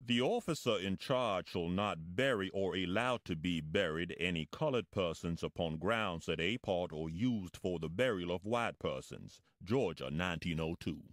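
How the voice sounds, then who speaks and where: A man with a very low voice reads out old laws. His delivery is formal and measured, recorded dry and free of room sound. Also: expressive, dry recording. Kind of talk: solemn reading of historical legal text.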